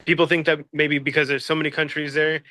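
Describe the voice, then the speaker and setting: A man's voice: fairly high pitch, flat delivery, fast talker, sharp tone, no echo. A man from Peru answering interview questions in a casual, hesitant way, with many fillers and restarts. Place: Seoul, South Korea.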